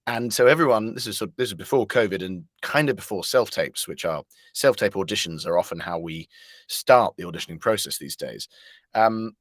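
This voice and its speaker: Man, lower-pitched, casual chat, rambling, jovial, hesitant phrasing, very dry recording. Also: expressive, fairly quick, no echo. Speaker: voice actor, interview guest. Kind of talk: casual interview storytelling.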